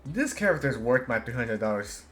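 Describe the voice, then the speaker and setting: A man streaming a game, reading its dialogue aloud haltingly and adding casual commentary. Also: medium pitch, sing-song, faint music behind. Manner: halting read-aloud with stumbles.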